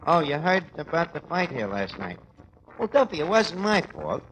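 A man's voice: on the high side, big pitch swings, moderate pace, fairly bright tone. A man playing a garrulous, streetwise tavern manager with a New York accent who mangles big words, in a 1940s radio comedy style. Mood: indignant storytelling. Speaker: comic lead of a radio comedy. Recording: noisy old 1940s radio recording.

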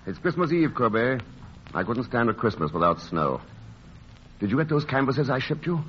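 An actor playing a brooding but good-hearted young painter with a husky voice in an old-time radio drama. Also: male voice, mid-range voice, very animated, normal speed, slight background noise, lightly acted. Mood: easy, wry. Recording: muffled old radio recording.

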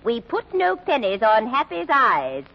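A woman's voice: fairly high pitch, huge pitch swings, measured pace, creaky, heavily acted. An actress playing a cynical old widowed mother in an American old-time radio mystery, speaking in a blunt, sardonic, folksy way. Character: sharp-tongued, cynical old widowed mother of a publishing family. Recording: dull vintage radio recording.

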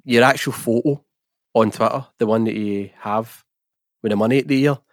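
A man's voice: medium pitch, fairly even intonation, moderate pace, no echo, clean studio sound. A man with a Scottish accent hosting a podcast, chatting casually about football and putting questions to his guest. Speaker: podcast host. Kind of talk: casual football chat interview.

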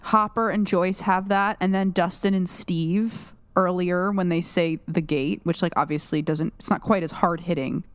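A woman co-hosting a podcast in casual chat, talking fast with lots of fillers and restarts. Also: fairly low pitch, steady tone, clear, resonant tone, no echo. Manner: chatty, full of fillers and restarts. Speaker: podcast co-host.

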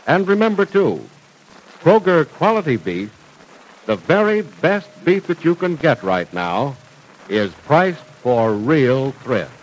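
Lively 1940s radio announcer man. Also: on the high side, slow, slight background noise, rough recording. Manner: smooth, emphatic salesman delivery.

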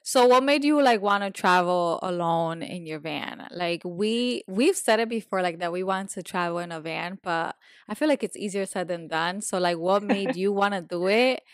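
Woman podcast host, lowish voice, casual loose questions, dry sound. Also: normal speed, clear, resonant tone, no room sound. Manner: friendly interviewer with frequent backchannels. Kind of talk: casual interview.

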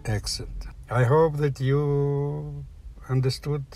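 A man's voice: on the high side, fairly even intonation, mellow. Russian-accented older man telling his story. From Soviet Union. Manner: halting, plain phrasing with restarts. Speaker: guest storyteller. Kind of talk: personal story told aloud.

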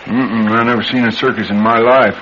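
An actor playing a surly, menacing young drifter in a radio drama, talking in curt, insolent slang. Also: male voice, medium pitch, steady tone, a little fast, lightly acted. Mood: scornful. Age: young adult. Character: surly young male drifter, menacing, impatient, uneducated tough. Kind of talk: classic radio drama acting. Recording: muffled, noisy vintage radio recording.

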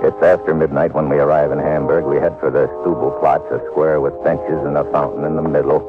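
A man's voice: very deep voice, little pitch movement, brisk, loud music behind the voice. An American man playing a tough, hard-boiled agent in a classic radio drama, terse, slangy and wry as he narrates his case. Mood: tense narration. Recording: muffled vintage radio recording.

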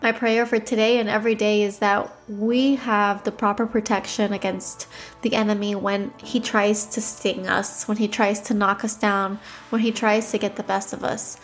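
A woman's voice: mid-range voice, level tone, normal speed, faint music behind. A woman with a stuffy nose hosting a devotional podcast, reading scripture and telling a story in a conversational way.

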